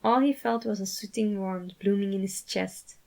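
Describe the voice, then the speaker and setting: Creaky-voiced woman reading a story aloud. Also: mid-range voice, fairly even intonation, moderate pace, a little mellow. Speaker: fan fiction writer and reader. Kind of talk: scripted story narration with character voices.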